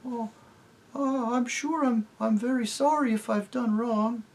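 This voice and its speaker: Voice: mid-range voice, a little slow, darkish tone, voice pushed up. A reader voicing a timid, simple male donkey who stammers apologetically. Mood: apologetic. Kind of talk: audiobook character voice.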